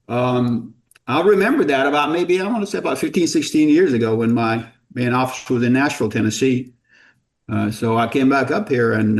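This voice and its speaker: A middle-aged man from Jordan, a company founder as podcast guest, telling stories in a rambling, self-deprecating way with many false starts. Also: mid-range voice. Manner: rambling, self-deprecating, repeats words when starting sentences. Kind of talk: casual storytelling interview.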